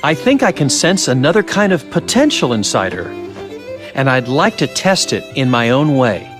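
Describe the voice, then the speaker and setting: A man playing a curious, evasive doctor in a video game, musing and teasing with theatrical pauses. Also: mid-range voice, big pitch swings, lightly acted. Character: wry, curious, evasive male scientist doctor. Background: faint music.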